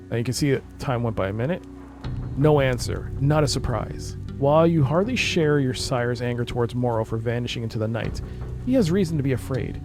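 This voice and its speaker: A man giving casual gaming commentary, explaining things informally and reading on-screen text aloud. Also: medium pitch. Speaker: gaming video creator. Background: game music.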